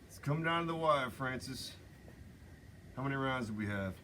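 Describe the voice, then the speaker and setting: A man reading a tired, steady male drifter gunman in a low, dry, laconic way. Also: normal speed, slight background noise.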